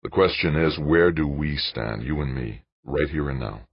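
A man's voice: very deep voice, normal speed, breathy edge, exaggerated voice. Audiobook role: bitter jilted man male reader, muttering, bottom-register voice, dull roomy recording. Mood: bitter, grumbling. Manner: grumbling, muttering. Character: adult man, bitter, frustrated jilted lover. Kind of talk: audiobook character dialogue.

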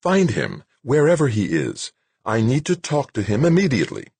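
An imperious woman executive from an audiobook novel, acted out by a male narrator. The voice is lifted well above his usual pitch, curt and commanding. It snaps with impatience in a very dry studio sound. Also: wildly swooping pitch, measured pace, gravelly, husky, no room sound, exaggerated voice. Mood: angry, urgent.

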